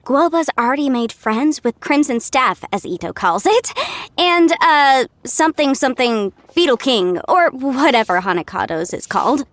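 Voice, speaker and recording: High bouncy young anime game girl, friendly n chatty, easygoing, dry studio sound. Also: sing-song, normal speed, hardly any pauses, no echo. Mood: breezy. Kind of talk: anime-style video game voice acting.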